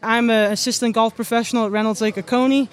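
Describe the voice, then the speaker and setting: Chatty young Scouse woman, flat tune. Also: a little high, fast talker. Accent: Scouse with Irish mix. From Liverpool, England. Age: young adult. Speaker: guest golf pro. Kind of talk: casual interview answers.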